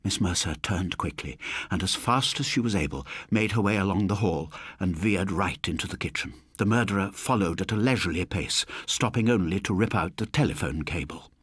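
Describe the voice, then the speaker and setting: A man narrating a scripted suspense story for an audiobook, with theatrical, measured phrasing. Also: low voice, husky, dry recording, clean studio sound.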